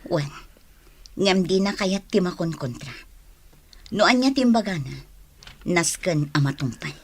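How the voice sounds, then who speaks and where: A woman's voice: fairly low pitch, lively intonation, unhurried. A woman playing a questioning, admonishing older-sister figure in a radio drama, advising a young recruit to a hideout group. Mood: concerned, advising. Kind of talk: Ilocano radio drama acting.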